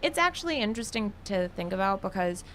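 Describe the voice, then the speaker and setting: A woman's voice: mid-range voice, sing-song, fast talker, a bit bright, slight background noise. A young woman from New York City, chatting casually as an interview guest, filling her talk with "like" and laughing easily. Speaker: guest artist.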